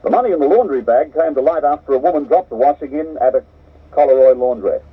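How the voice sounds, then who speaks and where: An Australian man delivers a formal news bulletin at a measured, even pace. His voice is slightly husky and sits a little back from the mic. It comes through a muffled, dull cassette copy of an old radio broadcast. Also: medium pitch, far from the mic. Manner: measured, steady, crisp delivery. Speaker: radio newsreader.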